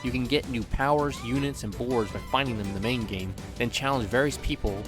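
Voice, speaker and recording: A man's voice: medium pitch, fairly quick. Playful male game reviewer over music. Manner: playful, jokey. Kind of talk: scripted review narration with joking asides. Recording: background music under voice.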